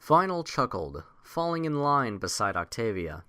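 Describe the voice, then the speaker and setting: A man narrating a scripted story as an audiobook reader, in measured literary storytelling. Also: medium pitch, very animated, pushed and bright.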